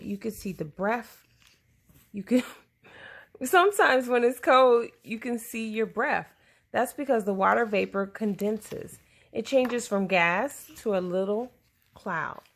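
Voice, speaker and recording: A woman reads a children's science book aloud at a slow, deliberate pace. Her voice has a noticeable creak and fry at its edges. The phrasing is simple, suited to a young listener. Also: a little high.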